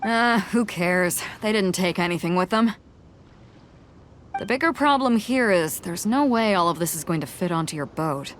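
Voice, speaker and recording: A woman's voice: on the low side, expressive, normal speed, slight background noise, lightly acted. An actress voicing a bold, confident female sea captain in a video game, blunt and commanding. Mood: matter-of-fact.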